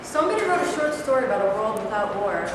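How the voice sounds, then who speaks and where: Woman playing supportive middle-aged First Lady, calm, stage reading, distant mic, noisy reverberant room. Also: a little high, fast. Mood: gently encouraging.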